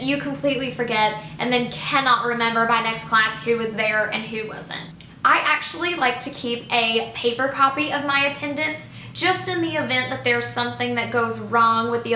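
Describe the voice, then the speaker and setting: A young woman talks straight to camera in a chatty, expressive way, her voice bright and a little high. The room around her rings with echo, and a faint hum sits underneath. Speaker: middle school teacher. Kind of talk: casual vlog to camera. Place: living room by a fireplace, indoors.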